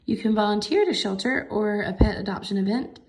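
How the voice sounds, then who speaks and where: A woman's voice: medium pitch, animated, fairly quick, low-quality recording. A woman reading a picture book aloud to children, expressively explaining the pictures as she goes. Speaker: story reader for children. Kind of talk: picture book read-aloud with simple commentary.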